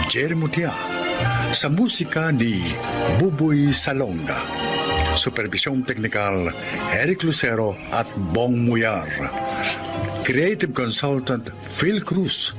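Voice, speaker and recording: A man in a radio drama who speaks in a measured, formal way and also reads the credits. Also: mid-range voice. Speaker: radio drama actor and announcer. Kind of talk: radio drama acting and announcing.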